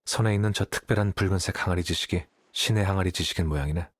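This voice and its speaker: Cool young scholar, deep raspy voice, dry n calm, anime game, very dry studio sound. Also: male voice, fast talker, no echo. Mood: dry, composed. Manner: composed, dry, matter-of-fact. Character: cool, aloof young male scholar, calmly confident.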